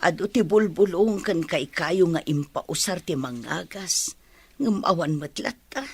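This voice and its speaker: Older village mother, Filipino accent, husky, caring, worried, radio drama, dry close sound. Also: on the low side, lively intonation, a little slow, dry recording, full character voice. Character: devoted older village mother missing her child, anxious, caring. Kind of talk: Ilocano radio comedy drama acting.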